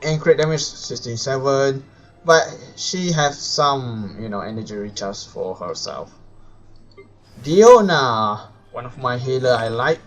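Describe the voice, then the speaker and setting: Slow-talking man live streaming a game. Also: medium pitch, lively intonation, fairly bright tone, soft background music. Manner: hesitant, rambling, self-correcting. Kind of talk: casual live stream commentary.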